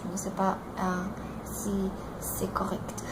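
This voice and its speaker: A Brazilian woman in her twenties with a Brazilian Portuguese accent, introducing herself in a learned language and pausing often to search for words. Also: medium pitch, completely flat delivery, very noisy. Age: 20s. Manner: halting, searching for words, many fillers and restarts.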